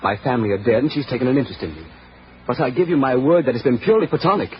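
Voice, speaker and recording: Uneasy private secretary, played by a man: brisk, polite, mid-pitched, noisy old radio recording. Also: expressive, highs cut off, natural voice. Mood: uneasy, earnest. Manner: formal, guarded, quick. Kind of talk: 1940s radio detective drama acting.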